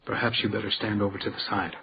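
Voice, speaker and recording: An actor playing a polite but stubborn hotel detective in a radio crime drama, with a dry, storytelling way of talking. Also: male voice, lowish voice, flat delivery, fast talker, lo-fi sound, a little hiss or hum, close to an everyday voice. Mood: wry, cautious. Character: hotel house detective, male, deferential but stubbornly needling.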